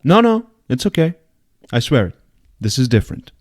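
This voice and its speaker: A man voicing a brash, volatile young woman hacker in a story reading, with excitable, slangy, emphatic talk. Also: medium pitch, normal speed. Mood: excited, insistent.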